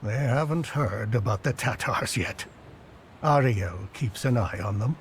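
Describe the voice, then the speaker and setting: A mid-pitched male voice by an actor as a composed companion in a period video game. He is calm, genial and dry, over faint ambient noise. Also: a little slow, lightly acted. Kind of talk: video game cutscene acting.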